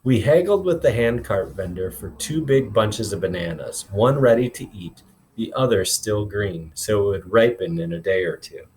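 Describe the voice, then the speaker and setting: A man's voice: fairly low pitch, lively intonation, normal speed, a little mellow, slight background noise, rough recording. A man reading a story aloud as a teacher, with informal, instructive explanations along the way. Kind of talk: expressive read-aloud narration with explanatory asides.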